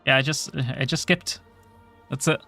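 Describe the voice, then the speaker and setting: A man streaming a game, chatting casually to his viewers in a soft voice, rambling and swearing offhandedly. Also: medium pitch, steady tone, a little slow, gravelly, soft background music. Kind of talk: casual livestream chat.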